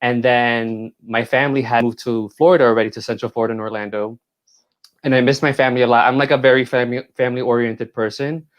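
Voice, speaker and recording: A man's voice: mid-range voice, steady tone. A young man from New York, born in Puerto Rico, chatting playfully and campily as an interview guest on an earpiece mic. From born in Puerto Rico, raised in New York. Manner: playful and campy, quick to laugh. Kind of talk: casual interview chat.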